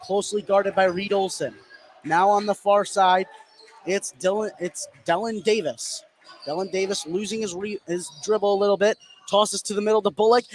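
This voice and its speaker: A man doing excitable live play-by-play of high school basketball in short running phrases. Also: a little hiss or hum, rough recording. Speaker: play-by-play sports commentator.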